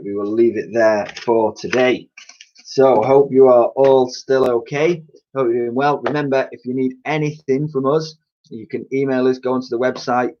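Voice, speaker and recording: A man's voice: mid-range voice, darkish tone, highs cut off. A man reading a children's storybook aloud expressively, like a teacher addressing his pupils.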